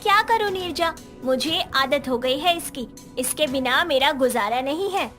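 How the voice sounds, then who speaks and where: A woman playing a gentle, patient elder sister-in-law in a cartoon moral story, speaking in a mild, earnest way. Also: sits high, lively intonation, measured pace, faint music behind. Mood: apologetic. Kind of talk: animated Hindi moral story dialogue.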